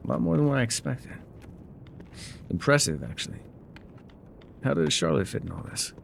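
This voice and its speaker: An actor playing a gruff, wry loner guide in an ASMR roleplay, with a dry, sardonic, unhurried delivery. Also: male voice, mid-range voice, big pitch swings, a little hiss or hum. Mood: dry, curious. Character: gruff, wry loner man guiding a rider on foot.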